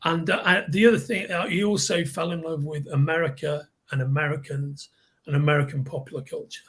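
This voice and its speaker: A man in his fifties from with a slightly raspy voice, a podcast guest who rambles and repeats words while he recalls. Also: on the high side, fairly even intonation, brisk, a bit bright. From Liverpool, England. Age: 50s. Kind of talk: casual podcast chat.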